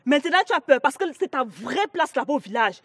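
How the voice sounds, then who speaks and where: A woman's voice: high-pitched, sing-song, a little fast, fairly bright tone, no room sound, studio quality. A woman with a Cameroonian accent playing an indignant, accusing young sister in a family drama.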